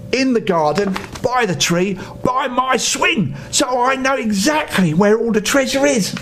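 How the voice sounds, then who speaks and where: High-voiced, animated older man reading a story. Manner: playful, theatrical asides. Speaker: storyteller, grandfather reading to children. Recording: noisy home recording with rumble.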